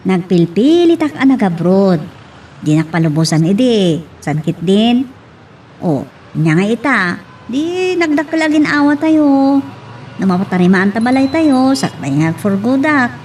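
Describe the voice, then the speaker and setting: An actress plays a caring Ilocano mother working overseas in an audio drama. Her voice is fairly high and takes its time, with affectionate, drawn-out phrases. It sits a little away from the mic over faint noise. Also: very animated, darkish tone, recorded from a distance. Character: caring mother working abroad as a maid, phoning home. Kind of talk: Ilocano radio-style drama acting.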